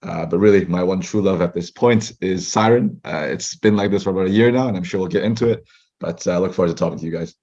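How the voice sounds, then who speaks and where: A young man, a startup co-founder, telling his story as a guest in a fast, chatty way. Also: low voice, darkish tone, highs cut off. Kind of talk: casual interview chat.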